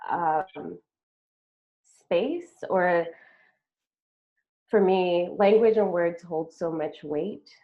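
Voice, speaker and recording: A woman from Sudan, Arabic her first language, talks in a deep, unhurried voice. She pauses often and fills gaps with hesitant sounds. Her audio is thin and phone-like, as over a video call. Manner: hesitant, many pauses and fillers. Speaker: artist guest. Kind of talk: casual panel conversation.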